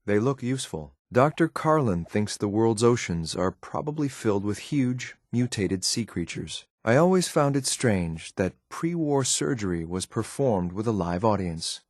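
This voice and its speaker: A low, close and dry male voice for a video game synth enforcer. He speaks in clipped, controlled phrases, coolly watchful and faintly disdainful of what is around him. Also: lively intonation, a little slow, hardly any pauses, a little mellow, no room sound. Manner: clipped, matter-of-fact, controlled, faintly condescending. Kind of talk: video game companion voice lines.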